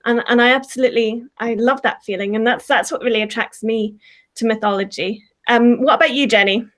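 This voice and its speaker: A woman with a somewhat high voice co-hosts a folklore podcast. She chats casually and reflectively, pausing on ums. She sounds enthusiastic as she talks about old stories. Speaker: folklore podcast co-host, guest. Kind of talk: casual chat.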